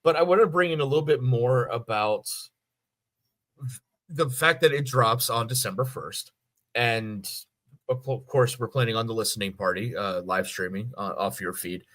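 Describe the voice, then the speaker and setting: A man's voice: medium pitch, moderate pace, fairly bright tone. A man hosting a podcast in a casual, conversational style. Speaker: podcast host. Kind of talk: casual chat.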